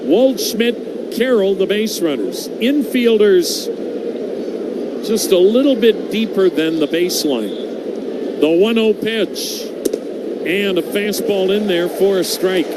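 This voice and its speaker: A man calling baseball play-by-play for radio in measured, descriptive, short clipped phrases. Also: sits high, expressive. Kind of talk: live radio sports commentary. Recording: very noisy live broadcast.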